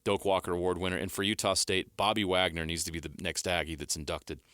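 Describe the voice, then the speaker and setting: A man hosting a sports radio show, talking briskly and leading the segment. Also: sits low, level tone, a bit bright, no room sound, studio quality. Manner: brisk, upbeat, leads the segment.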